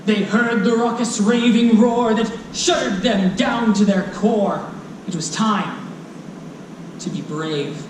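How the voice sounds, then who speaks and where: A storyteller performing a rhyming children's book live, playful and dramatic, with drawn-out lines and asides. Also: medium pitch. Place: live performance room at a convention. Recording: roomy, distant live hall recording with noise.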